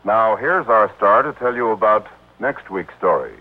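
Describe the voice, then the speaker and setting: A man's voice: lowish voice, expressive, moderate pace. A man with an American accent reading scripted credits as a 1960s radio announcer. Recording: tinny old radio broadcast with music.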